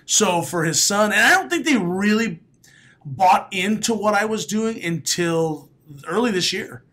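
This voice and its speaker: A man in his late thirties, a comedian guest with a raspy voice, telling stories on a podcast in a small studio with a close mic. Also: high-pitched, a little slow. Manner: animated storyteller, laughs often, bursts into loud announcer calls. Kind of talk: casual podcast interview. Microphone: studio mic, headphones.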